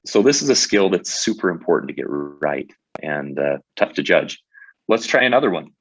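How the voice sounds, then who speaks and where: A man's voice: low-pitched, big pitch swings, normal speed, dull audio. A man presenting a webinar in a conversational way, often restarting his sentences. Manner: conversational, with many fillers and restarts.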